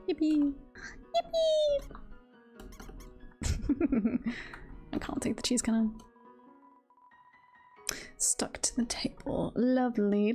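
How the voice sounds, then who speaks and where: A woman chats casually as she plays a game on stream. Her voice sits a little high with a noticeable creak, and she takes her time. She is playful and giggly, with faint game music underneath. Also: a little mellow. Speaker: streamer playing a video game. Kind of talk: casual livestream chat and game commentary.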